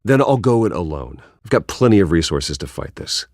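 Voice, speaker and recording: A gravelly, very deep determined tycoon. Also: male voice, sing-song, fairly quick, no echo, clean studio sound. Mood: firm. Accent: American. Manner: terse, controlled. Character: determined, guarded wealthy male businessman with a secret. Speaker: voice actor. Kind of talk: video game dramatic dialogue.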